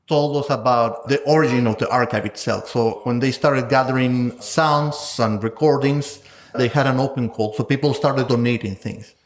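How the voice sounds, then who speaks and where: A man speaking as a guest scholar in an interview, measured and thoughtful, often ending points with a questioning "no?". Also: mid-range voice, roomy sound. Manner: thoughtful, measured, tags statements with "no?". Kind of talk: conversational interview.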